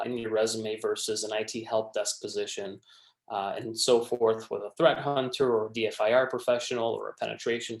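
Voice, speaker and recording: A man's voice: medium pitch, reverberant. A man who was raised in Michigan, a recruiter giving a friendly, casual resume workshop to students, with many fillers and restarts. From born in Romania, raised in Michigan.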